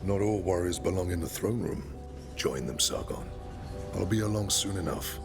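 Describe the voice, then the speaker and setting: A male actor playing a steady senior warrior in a video game, with a gravelly voice. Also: low-pitched, normal speed. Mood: calm, advising. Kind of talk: dramatic video game acting. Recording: music behind the voice.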